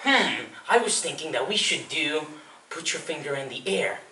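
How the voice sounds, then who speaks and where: A man's voice: fairly high pitch, expressive, normal speed, sharp tone, full character voice. A man playing a flamboyant, chirpy children's librarian with a defiant streak, talking sing-song to kids in a theatrical stage monologue. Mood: chirpy, encouraging. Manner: sing-song, exaggeratedly cheery, addressing small children. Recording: distant mic, roomy echo, faint noise.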